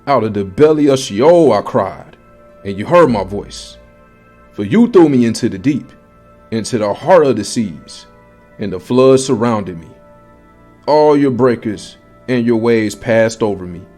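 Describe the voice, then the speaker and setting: A man's voice: mid-range voice, animated, measured pace, darkish tone, faint music behind. A man playing a solemn, devout Hebrew prophet in a dramatized scripture reading, declarative and prayerful.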